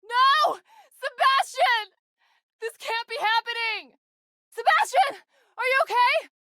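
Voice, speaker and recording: A woman's voice: very high voice, pushed and bright, dry recording, heavily acted. Composed, earnest woman psychologist in a game. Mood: shouting, panicked. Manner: measured, analytical, articulate. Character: composed, earnest female team psychologist under threat. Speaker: video game voice actor. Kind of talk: video game dialogue.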